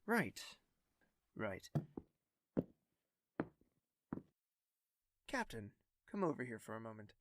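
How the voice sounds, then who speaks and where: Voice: mid-range voice, big pitch swings, normal speed, background noise, lightly acted. An actor playing a young prince in an audio drama, refined, distracted and hesitant, musing aloud. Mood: tentative. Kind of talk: scripted audio drama acting.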